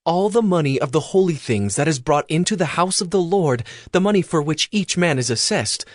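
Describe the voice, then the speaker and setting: A man's voice: a little high, animated, brisk, no echo, clean studio sound, natural voice. A man playing a formal, authoritative king of Judah who instructs the priests in a dramatized audio Bible. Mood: formal, decreeing.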